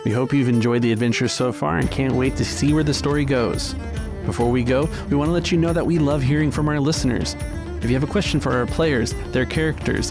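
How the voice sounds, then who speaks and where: Gravelly man narrating over music. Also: mid-range voice. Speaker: game master and podcast host. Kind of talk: descriptive tabletop game narration. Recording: music bed behind the voice.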